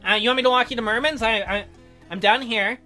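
A woman voicing a mild, awkward, concerned young man in a visual novel, hesitant and low-key. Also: quick pace, pushed and bright, faint music behind.

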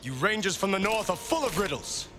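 Urgent warrior, swooping pitch. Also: male voice, very animated, a little fast, background noise. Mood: suspicious. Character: earnest, suspicious human warrior of Gondor. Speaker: voice actor in a fantasy game. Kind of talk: dramatic game cutscene acting. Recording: music behind.

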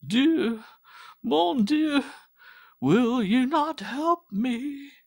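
A man's voice: a little high, darkish tone, dry recording, clean studio sound, voice pushed up, full character voice. Man voicing a desperate pleading mother. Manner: breathy, pleading, slow and strained. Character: anguished French mother, pleading and whispering.

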